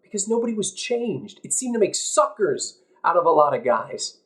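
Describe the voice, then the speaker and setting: A man playing an anguished, idealistic young American war veteran, guilt-ridden and outraged, in an intense, halting dramatic stage monologue. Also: high voice, sing-song, a bit bright. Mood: guilty, earnest. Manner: intense, halting, emphatic, emotional.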